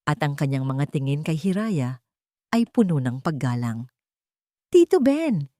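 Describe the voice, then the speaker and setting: A woman narrating a story expressively and sentimentally, in short measured phrases. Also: rumbling low, animated, dark tone, no echo, studio quality. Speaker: audiobook narrator.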